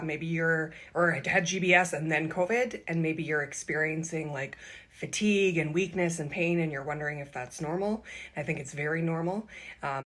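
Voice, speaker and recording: Low-voiced woman chatting in a video diary. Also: a bit bright. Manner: chatty, many fillers and likes. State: congested, recovering from illness. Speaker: vlogger.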